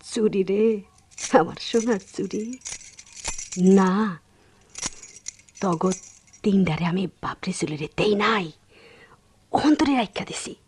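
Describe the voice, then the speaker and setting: An actress playing a sorrowful, brooding village wife with a rural Bangladeshi accent in a radio drama monologue, halting and breathy, drifting into whispers. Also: female voice, mid-range voice, darkish tone, slight background noise, lightly acted. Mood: wistful, brooding.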